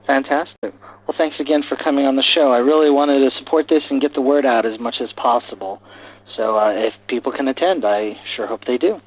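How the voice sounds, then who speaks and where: A man's voice: mid-range voice, fairly quick, phone-quality sound. A man speaking as a podcast host, interviewing guests in a conversational way.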